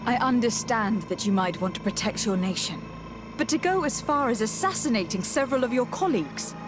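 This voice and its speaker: A woman's voice: fairly high pitch, expressive, brisk, lightly acted. Woman as composed noblewoman, music behind. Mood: firm, accusing. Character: composed, diplomatic noblewoman investigator. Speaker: game character voice actor. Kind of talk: measured video game acting.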